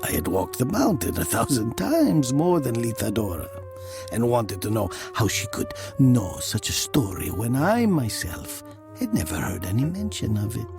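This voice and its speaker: A man playing a brooding narrator recalling his youth in a dramatic story, slow and deliberate, dropping into hushed breathy tones. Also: mid-range voice, sing-song, music in the background. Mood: hushed, wistful.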